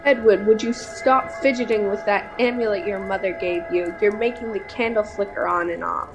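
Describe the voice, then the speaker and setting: Girl as bold young adventurer, medium pitch. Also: steady tone, normal speed, over music, natural voice, low-quality recording. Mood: scolding. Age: child, 10 to 13. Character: bold, bossy young would-be adventurer among tavern friends. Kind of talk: amateur radio-serial fantasy acting.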